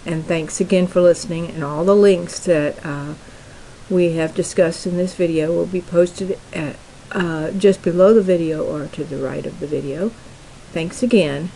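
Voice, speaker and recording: A middle-aged woman hosting a software tutorial, explaining each step plainly and slowly with frequent fillers. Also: fairly low pitch, creaky, slight background noise, low-quality recording. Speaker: tutorial host. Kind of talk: screen-recorded software tutorial.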